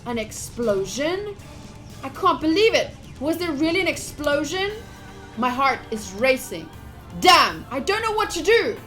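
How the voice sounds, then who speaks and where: A woman with a high voice plays through a visual novel on a live stream. She reads the text aloud with some drama, then slips into chatty asides to her viewers. Soft music plays underneath. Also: very animated, measured pace. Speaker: game streamer. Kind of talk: live visual novel playthrough, reading aloud and commenting to chat.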